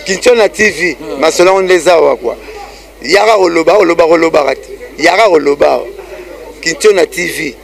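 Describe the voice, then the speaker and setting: A man giving a rapid, rhythmic hype announcement for a channel jingle. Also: a little high, vocal fry, faint music behind.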